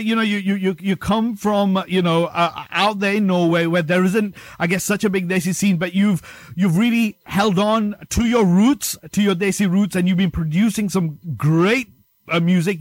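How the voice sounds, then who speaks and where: A male host with a fairly high voice leads the interview with upbeat energy. He repeats his words and calls his guest brother and man. The sound is dry, with no room around it. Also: no room sound. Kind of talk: enthusiastic interview hosting.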